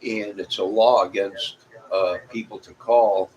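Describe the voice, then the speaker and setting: Low-voiced Midwestern man over background noise. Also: steady tone, measured pace, a little mellow, highs cut off. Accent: American Midwestern. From Illinois, United States. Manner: folksy, meandering. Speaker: vlogger. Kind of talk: rambling casual chat to viewers.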